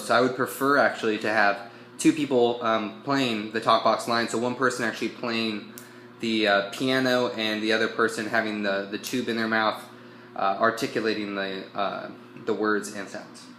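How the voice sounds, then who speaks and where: A man giving an informal instructional demonstration as a musician, explaining step by step with hesitant pauses mid-phrase. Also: medium pitch, a bit bright, slight background noise.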